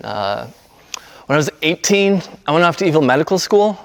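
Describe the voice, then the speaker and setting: A man speaking in a low-key, halting, naturalistic way, like an amateur actor doing a monologue reading. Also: big pitch swings, vocal fry. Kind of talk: casual intro, then understated monologue reading. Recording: long echoing reverb on the voice.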